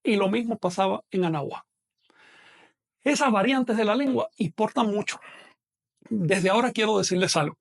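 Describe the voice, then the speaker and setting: A man with a non-Mexican Spanish accent gives an online language lesson. His voice sits high and carries a gravelly rasp. He explains step by step and often checks in with his listeners. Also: normal speed, pauses often. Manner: explanatory, addresses students directly with check-in questions. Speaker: language teacher. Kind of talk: online lecture.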